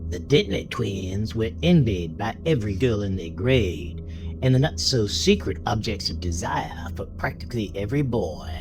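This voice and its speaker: A man's voice: lowish voice, very animated, moderate pace, poor audio. A man narrating a scripted story in a dramatic style, as the narrator of an audio drama. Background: music behind the voice.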